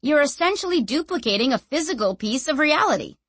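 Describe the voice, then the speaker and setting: Woman voicing a breezy, high-pitched ancient talking object. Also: big pitch swings, brisk, a bit bright, no echo. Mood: frank, explaining. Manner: casual, slangy, matter-of-fact explaining. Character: ancient sentient object speaking through a young woman, breezy.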